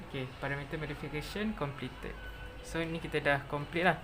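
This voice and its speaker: Malaysian tutorial presenter over clear background noise. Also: medium pitch, moderate pace. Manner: mixes in English technical terms. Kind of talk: step-by-step software tutorial narration.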